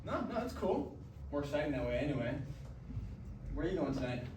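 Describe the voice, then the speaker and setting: Man playing a breezy college roommate, highish voice. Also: sing-song, brisk. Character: cheerful, cocky, pedantic college roommate. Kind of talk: musical staged reading dialogue. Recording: echoey hall, distant mic, noisy.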